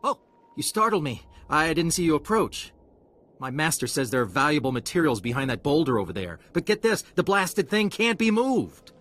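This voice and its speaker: Whiny, anxious young male trainee for a fantasy game, higher voice, very animated, easily flustered. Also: moderate pace. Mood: exasperated. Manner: complaining, flustered. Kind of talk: video game dialogue acting.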